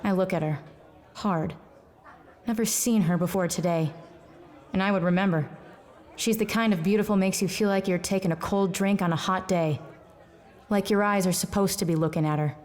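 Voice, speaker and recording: A hard-boiled actress's take on a female detective who drinks hard and talks blunt. She is wry and deadpan, with a middling pitch, in the manner of a noir radio play. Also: normal speed, long pauses, a faint crowd, lightly acted. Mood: world-weary. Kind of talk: noir audio drama acting.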